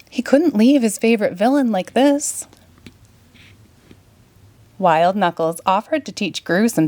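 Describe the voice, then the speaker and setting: A woman narrating a children's story in a clear, expressive read-aloud manner. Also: medium pitch, dry recording. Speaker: storybook reader.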